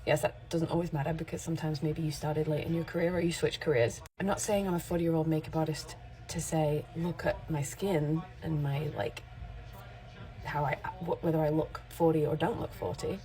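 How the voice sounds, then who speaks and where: A woman's voice: sits low, steady tone, moderate pace, dark tone, rough recording. A woman in her forties, a makeup artist talking candidly to camera in a rambling, self-correcting way. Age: 40s. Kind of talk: casual chatty vlog.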